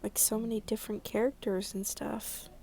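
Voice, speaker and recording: A woman's voice: a little high, flat delivery, normal speed, warm tone. A young woman hosting a let's play, reading game narration aloud and commenting in an informal, reactive way. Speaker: gaming video host.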